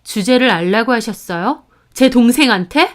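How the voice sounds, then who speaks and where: A woman's voice: fairly high pitch, expressive, normal speed, natural voice. A woman voicing a fierce older sister figure who confronts others firmly and sharply. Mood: angry.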